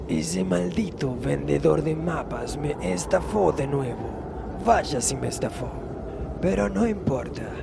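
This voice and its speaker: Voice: mid-range voice, vocal fry. A performer voicing a grumbling, irritable male composer in a theatrical comedic audio drama. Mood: weary, wistful. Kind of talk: amateur comedic audio drama monologue and dialogue. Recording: voice over music bed.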